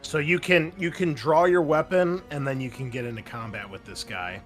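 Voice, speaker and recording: A man's voice: a little high, fairly even intonation, normal speed, faint music behind. A man running a tabletop roleplaying game as game master, explaining rules and narrating with frequent fillers and restarts.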